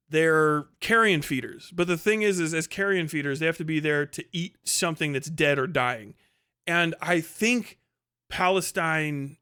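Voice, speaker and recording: A man's voice: a little high, sharp tone, clear, resonant tone. A man hosting a livestream, giving a casual, opinionated rant in a blunt, scornful, profane manner. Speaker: livestream host and commentator.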